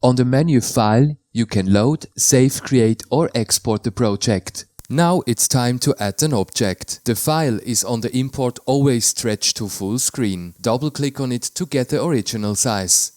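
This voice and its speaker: A man from Switzerland, a native Swiss German speaker with a Swiss German accent, calmly explaining how to install and use software in a tutorial voice-over, with slightly non-native phrasing. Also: medium pitch, moderate pace, hardly any pauses, dry recording, clean studio sound. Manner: careful, plain instructional delivery in slightly non-native English.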